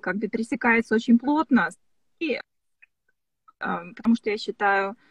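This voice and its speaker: A woman's voice: a little high, lively intonation, moderate pace, slightly rough, no echo. Hesitant woman interviewer, dry sound. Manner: hesitant, searching for words with restarts. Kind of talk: casual interview over video call.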